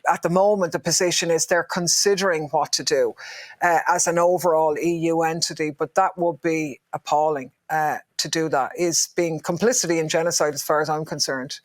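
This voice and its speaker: A woman as a guest politician in an interview, forceful and outraged, speaking firmly. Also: low voice, steady tone, normal speed, no room sound. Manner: forceful, outraged, firm and emphatic. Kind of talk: impassioned interview answers.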